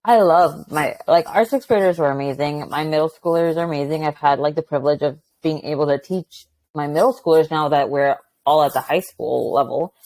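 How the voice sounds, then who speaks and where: A woman guest who is a high school teacher, chatting loudly and animatedly in a casual interview. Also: brisk, hardly any pauses. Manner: loud, chatty, animated, many "like" fillers.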